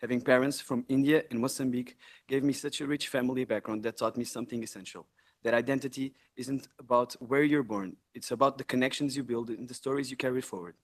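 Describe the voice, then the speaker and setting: A young man from Portugal, the incoming president of a student network, giving an earnest, measured formal speech in a large hall. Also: mid-range voice, steady tone. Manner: earnest, measured, occasional self-corrections.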